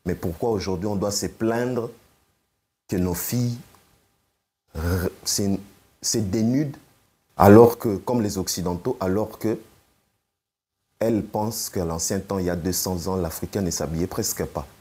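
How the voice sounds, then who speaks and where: A man interviewing a guest, asking measured questions while searching for his words. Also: sits low, warm tone, breathy edge.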